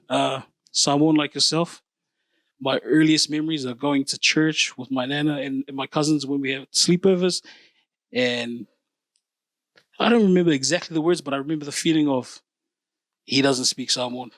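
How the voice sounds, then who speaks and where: A man, a podcast co-host answering questions thoughtfully, pausing and correcting himself. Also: fairly high pitch, fairly bright tone, no room sound. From New Zealand. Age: 30s. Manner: thoughtful, hesitant, self-correcting. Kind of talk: reflective interview answers.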